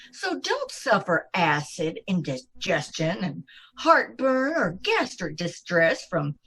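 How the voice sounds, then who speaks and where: Woman, husky, highish pitch, lively swoops, measured pace, old-time radio commercial read. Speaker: commercial announcer. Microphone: video call.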